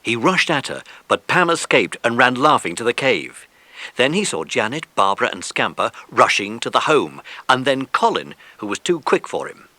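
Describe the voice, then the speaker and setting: Man, children's audiobook narrator, crisp bright tone, expressive reading, close dry sound. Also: medium pitch, moderate pace, no echo.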